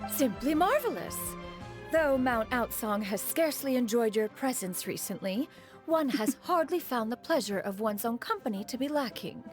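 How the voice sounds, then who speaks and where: A woman's voice: on the high side, animated, moderate pace, faint music behind, close to an everyday voice. Woman voicing a haughty, lilting immortal female master. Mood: pleased, haughty. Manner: haughty, formal, archaic, calls herself "one". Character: proud, aloof female immortal adeptus master, secretly fond. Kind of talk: video game character acting.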